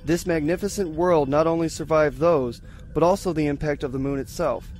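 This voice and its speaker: An eager science lieutenant in a sci-fi audio drama, played by a man. His voice sits a little high for a male, and he explains things with scholarly relish. Light music plays behind him. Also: fairly even intonation, fairly quick, a little mellow, lightly acted. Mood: intrigued, relishing.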